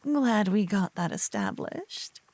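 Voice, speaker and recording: A woman playing a silly, adorable young paladin in an ASMR audio roleplay, teasing and theatrical, hushed in places. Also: medium pitch, huge pitch swings, slow pace, dry recording, full character voice. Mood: proud, lecturing. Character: adorable silly young female paladin, bossy, smitten, playful know-it-all.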